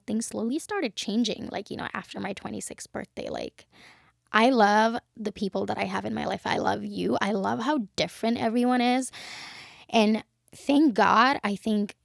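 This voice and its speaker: A woman's voice: mid-range voice, gravelly, no room sound, clean studio sound. A young woman from Bangladesh, co-hosting a casual podcast and talking reflectively with lots of "you know". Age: young adult. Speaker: podcast co-host. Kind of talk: casual chat.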